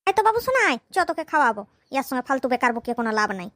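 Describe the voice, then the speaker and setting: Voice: sits high, big pitch swings, sharp tone, no room sound, studio quality, heavily acted. A voice actor playing a greedy, chatty wife and mother in a cartoon, nagging and scheming. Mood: sassy. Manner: nagging, scheming, talks fast.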